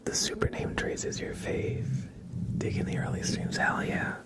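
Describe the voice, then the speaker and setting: A man doing casual ASMR chat as a live streamer, murmuring and whispering softly and gently, with trailing pauses. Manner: soft, breathy whispering and low murmuring.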